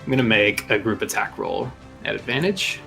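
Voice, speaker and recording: A man improvising tabletop game narration as game master, telling the scene in a halting, descriptive way. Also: lowish voice, very animated, vocal fry, sharp tone. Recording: music behind the voice. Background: music.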